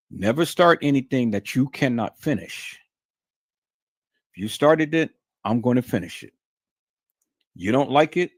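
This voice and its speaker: A man's voice: a little high, no echo, studio quality. A man hosting a livestream, talking in a stern, emphatic, lecturing way to his viewers. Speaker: livestream host. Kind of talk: unscripted livestream monologue.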